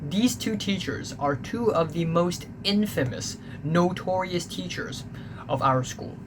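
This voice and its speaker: A man's voice: sits high, lively intonation, measured pace. A young man from Hong Kong with a Hong Kong English accent, a native Cantonese speaker, telling a casual, rambling story that he keeps correcting and that he spices with swearing. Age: young adult. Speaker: vlogger. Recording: noticeable background noise.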